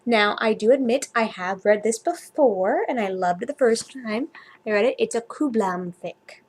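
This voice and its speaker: A woman's voice: a little high, lively intonation, normal speed, husky. A woman vlogger chatting casually and reading a story aloud informally, sometimes stumbling over her words.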